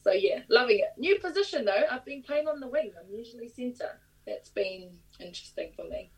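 A woman's voice: a little high, lively intonation, a little fast, breathy edge. Upbeat New Zealand woman, mic at a distance. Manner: upbeat and laughing easily. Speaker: rugby player guest. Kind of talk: remote interview.

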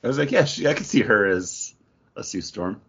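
A man's voice: lowish voice, animated, normal speed, creaky, fairly bright tone, breathy edge, dull audio. A man hosting a podcast in a casual, conversational style. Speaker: podcast host. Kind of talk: casual chat.

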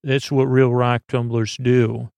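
A gravelly, streetwise imaginary pal acted out by a man works like a wheedling old-school huckster. He pitches to a kid in a folksy way on a comedy podcast, in a creaky mid-pitched voice recorded dry. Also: level tone, takes it slowly, darkish tone, no echo. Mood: earnest selling. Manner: streetwise salesman, calls listener "kid".

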